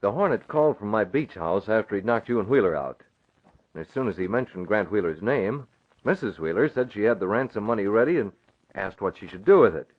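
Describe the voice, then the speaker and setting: An actor playing a composed, civic-minded newspaper publisher, speaking crisply and with authority in an old-time radio drama. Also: male voice, lowish voice, expressive, brisk. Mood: calm, explaining. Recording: thin phone-like vintage radio recording.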